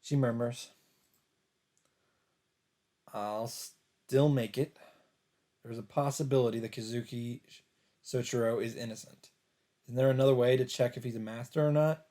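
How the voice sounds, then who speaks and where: A man narrating a visual novel aloud as a let's player, in a measured, casual read-aloud delivery. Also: medium pitch, fairly even intonation, mellow.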